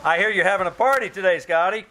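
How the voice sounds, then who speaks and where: A man's voice: high-pitched, fast talker, sharp tone. A man playing a brazen, thick-skinned wisecracking freeloader in a live re-enacted 1940s radio comedy, slangy, cocky and unfazed. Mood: cheeky. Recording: faint noise of a live theater stage.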